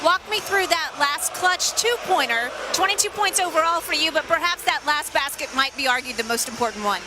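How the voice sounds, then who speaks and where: High-voiced upbeat sideline reporter woman. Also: animated, over music. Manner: upbeat, quick questions. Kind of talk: postgame interview.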